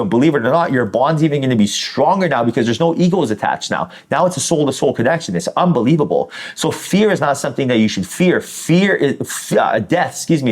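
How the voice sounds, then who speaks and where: A man giving an informal spiritual tarot reading straight to the listener, encouraging and effusive, with colloquial phrasing and repeated phrases for emphasis. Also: mid-range voice. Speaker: tarot reader.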